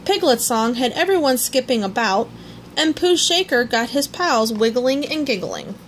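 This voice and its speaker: A woman reading a children's story aloud in an expressive storytelling manner. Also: on the high side, normal speed, slight background noise. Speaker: storybook reader. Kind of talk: scripted children's story narration, read aloud.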